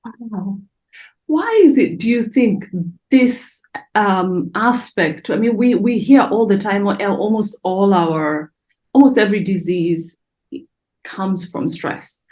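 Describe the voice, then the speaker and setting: Animated Somali-born woman host. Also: medium pitch, slow. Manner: animated, gestures, asks eager questions. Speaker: podcast host. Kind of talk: interview over video call. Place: small bright room at home.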